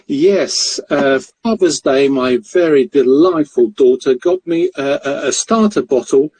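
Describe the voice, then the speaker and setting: Give me a middle-aged man from Mozambique with a dark, raspy voice, chatting as a joking podcast guest. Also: fairly high pitch, big pitch swings, measured pace, no echo, poor audio. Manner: jokey, self-deprecating storyteller who laughs often. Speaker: guest, currency broker. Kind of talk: casual interview chat.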